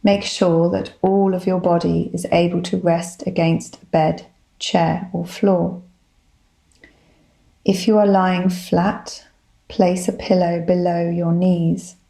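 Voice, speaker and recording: A woman leading a guided body scan meditation, giving gentle, soothing instructions in a calm manner. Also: fairly low pitch, takes it slowly, creaky. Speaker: psychologist, guided meditation leader.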